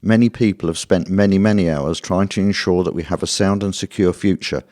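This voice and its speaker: Male, very low voice, formal scripted update, close dry sound. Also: brisk, a little mellow, dry recording. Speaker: theatre official. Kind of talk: scripted statement read aloud.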